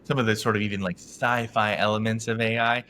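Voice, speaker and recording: A male interview host with a bright, forceful, fairly low voice. He strings together long, winding questions full of fillers. A light hiss of noise sits behind him. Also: level tone, brisk. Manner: wordy, rambling questions with fillers. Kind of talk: interview hosting.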